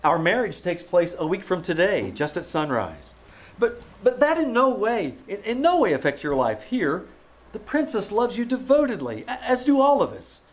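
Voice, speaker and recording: A gentle, eager young prince acted by a man in an old-time radio play. His voice sits fairly high and moves in big, animated swoops. He speaks with courtly kindness and hesitates as he searches for words. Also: normal speed. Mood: gentle, awkward. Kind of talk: old-time radio drama acting, live stage performance.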